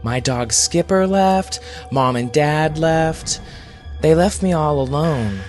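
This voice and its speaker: A man's voice: fairly high pitch, lively intonation, slow, faint music behind, pitched above the performer's own voice. A man voicing a sullen, lonely young boy with a petulant, childlike manner in a horror story. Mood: sullen, hurt. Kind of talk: horror audio storybook character.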